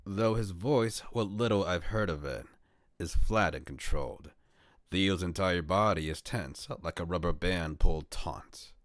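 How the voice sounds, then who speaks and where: A young man streaming from home, calmly reading a visual novel aloud in the first person. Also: low voice, measured pace, no room sound, studio quality.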